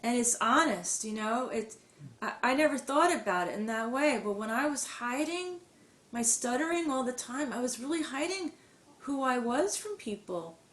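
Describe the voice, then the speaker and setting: A woman's voice: a little high, animated, moderate pace, fairly bright tone, reverberant. A woman who stutters, speaking reflectively as a guest in an interview. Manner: reflective, stutters with blocks and repetitions. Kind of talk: conversational interview.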